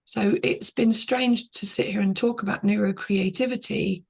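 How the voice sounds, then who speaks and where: Woman podcast co-host, middle-aged, husky and gravelly, earnest reflective chat. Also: medium pitch, steady tone, moderate pace. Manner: reflective, earnest, talks in short restarting phrases. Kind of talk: casual podcast conversation.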